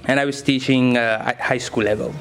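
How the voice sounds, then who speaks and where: A man's voice: medium pitch, steady tone, fairly quick, fairly bright tone, soft background music. A young man, answering interview questions as a science teacher in simple, enthusiastic phrases.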